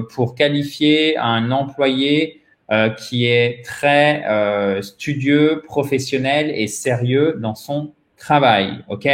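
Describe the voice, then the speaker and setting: A man with a medium-pitched voice teaches pronunciation in an echoey room. He is a native French speaker and explains in a didactic way, turning directly to the learners as he goes. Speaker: English pronunciation teacher, host. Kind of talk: interactive language lesson, explanatory.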